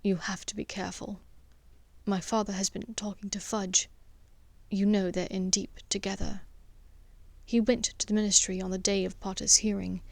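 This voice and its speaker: A woman's voice: mid-range voice, flat delivery, moderate pace, vocal fry, a little mellow, no room sound. An intense teenage wizard boy from a fantasy audiobook, voiced by a woman. He speaks with urgency and scorn, in a commanding, protective way. The sound is close and dry. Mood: worried warning.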